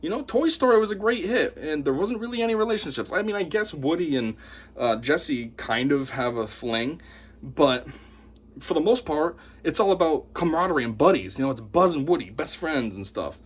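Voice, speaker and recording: Man, husky, fairly high voice, opinionated monologue, scornful, animated. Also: normal speed. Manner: animated, scornful, mocks opponents in put-on voices. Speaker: YouTube commentator.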